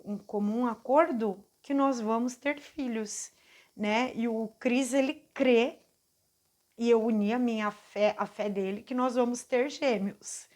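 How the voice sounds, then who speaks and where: Brazilian lady in her 40s telling her faith story, kinda high voice, heartfelt, stops and restarts a lot. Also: a little mellow. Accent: Brazilian Portuguese. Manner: earnest and heartfelt, halting with restarts. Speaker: channel host giving a testimony. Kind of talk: personal faith testimony.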